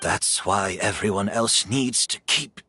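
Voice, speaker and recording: Husky, weary old man. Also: lowish voice, measured pace, bright, forceful tone, soft background music, heavily acted. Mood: grave, scheming. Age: older. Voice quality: husky, raspy. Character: frail, weary old man set on confronting his enemy. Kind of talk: video game voice acting.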